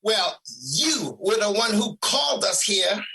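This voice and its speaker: A man playing Resentment as a personified emotion in an audio drama, scheming and manipulative, with a husky, insinuating, theatrical delivery. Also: high-pitched, sing-song, slow, bright, forceful tone, heavily acted, low-quality recording. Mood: accusing.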